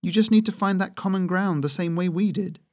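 A man's voice: high voice, a little fast, dry recording, studio quality, pitched above the performer's own voice, natural voice. A man voicing a wry, teasing woman hunter with a blunt, mocking manner. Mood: gentle.

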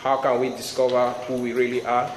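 A man in his thirties from Nigeria with a Nigerian accent, giving a sermon as a guest speaker, reading scripture and praying, often pausing with ums and restarting his sentences. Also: mid-range voice, monotone. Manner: hesitant, frequent ums and restarts. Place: large hall before a youth crowd. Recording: echoey room with noise.

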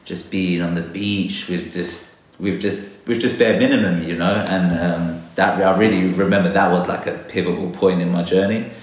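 A man's voice: low-pitched, steady tone, normal speed, few pauses, heavy reverb. A man from London, a guest botanist, talking reflectively in a casual interview, hesitant with many fillers. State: a little nervous.

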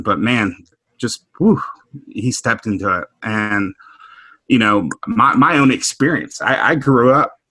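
A middle-aged man from Houston, speaking thoughtfully in a group discussion, halting and restarting as he works through his points. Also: on the low side, bright, forceful tone, husky, no echo. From Houston, Texas. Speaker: discussion panelist. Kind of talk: reflective conversational discussion.

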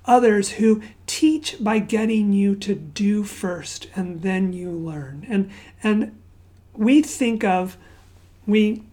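A woman raised in Zambia preaching at an unhurried pace, with a mellow, warm tone. Her prayer and stories alternate between relaxed, chatty asides and soft, hushed near-whispers. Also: mid-range voice. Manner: conversational storytelling with fillers and asides. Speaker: pastor. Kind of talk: sermon with opening prayer.

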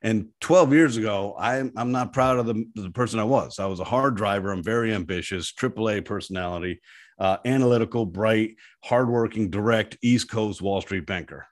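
A man with a deep voice talking in a casual interview, an animated and self-deprecating storyteller. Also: moderate pace. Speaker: guest, app founder.

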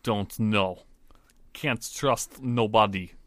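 A man's voice: fairly low pitch, slow. A man voicing a huge, blunt, wary foreigner who speaks in terse, broken phrases, in a tabletop game.

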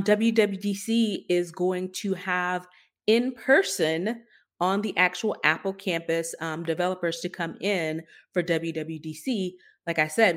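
Low-voiced American woman hosting a podcast. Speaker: podcast co-host. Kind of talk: conversational tech news podcast commentary.

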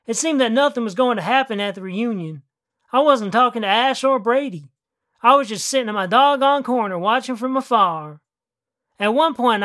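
A man's voice: extremely high pitch, pushed and bright, full character voice. A man putting on a shy small-town cowboy drawl. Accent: put-on Texan cowboy drawl. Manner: folksy drawl with slangy country grammar. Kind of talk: first-person storytelling.

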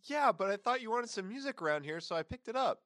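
A man's voice: sits high, lively intonation, quick pace, a bit bright, dry recording, clean studio sound. A young man playing a sheepish, naive, cheerful housemate in a comic audio drama. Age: young adult. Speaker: student voice actor. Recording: faint background noise, home recording.

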